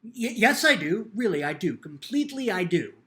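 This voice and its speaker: A man's voice: sits high, sing-song, moderate pace, pushed and bright, heavily acted. A man playing an earnest, lonely teenage boy who tells his own story in plainspoken, cheeky words. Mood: flustered. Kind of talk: first-person dramatic book reading.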